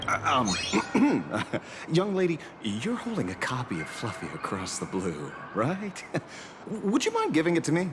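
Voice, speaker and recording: A man's voice: fairly high pitch, huge pitch swings, noisy background. An actor playing a theatrical, slightly awkward male detective with a vengeful streak in a video game. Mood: flustered, eager. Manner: theatrical, swings from grave and measured to flustered stammering. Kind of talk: dramatic video game character acting. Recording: background music under dialogue.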